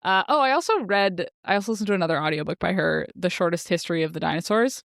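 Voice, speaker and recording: A woman's voice: fairly low pitch, lively intonation, fast talker, gravelly, fairly bright tone, no room sound, studio quality. A woman co-hosting a podcast, chatting casually about books in a giggly, enthusiastic way. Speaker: podcast co-host. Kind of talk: casual book chat.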